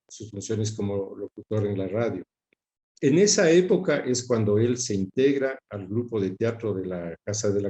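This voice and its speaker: A middle-aged man with an Ecuadorian accent, reminiscing in an interview and often pausing to search for words. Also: on the low side, dark tone, highs cut off. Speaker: interview guest recalling his father's career. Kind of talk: reflective spoken recollection in an interview.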